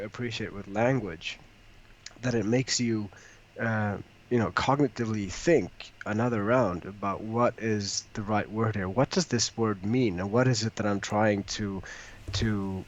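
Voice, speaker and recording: A man in a casual conversational discussion, slow and thoughtful, pausing to choose his words. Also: on the low side, poor audio.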